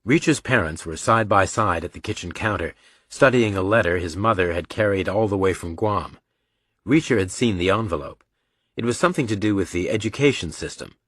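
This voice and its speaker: A man's voice: low voice, fairly quick, a bit bright, husky, no echo, clean studio sound. A man narrating a thriller audiobook in a scripted, dramatic storytelling style.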